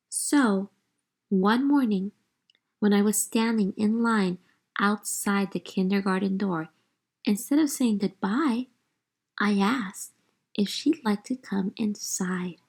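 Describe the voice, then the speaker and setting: Storybook kindergarten girl, eager and earnest, expressive telling at a medium pitch, read by an adult woman. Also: vocal fry, slightly rough, exaggerated voice.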